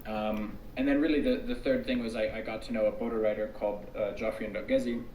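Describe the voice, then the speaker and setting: An American man who grew up in Tanzania, a researcher guest giving relaxed interview answers with frequent ums and restarts. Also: fairly low pitch, quick pace, a little hiss or hum. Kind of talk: casual conversational interview answers. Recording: distant, echoey remote recording.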